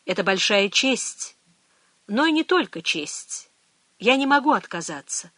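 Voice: mid-range voice, sing-song, unhurried, pushed and bright, dry recording, exaggerated voice. A reader voicing a weary, guarded middle-aged priest in an audiobook, speaking in a restrained, reflective way. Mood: earnest.

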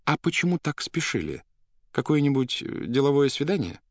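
A man voicing a polite, inquisitive psychiatric doctor in an audiobook, courteous and measured as he questions. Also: medium pitch, very animated, no room sound, studio quality, pitched above the performer's own voice, close to an everyday voice. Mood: politely probing.

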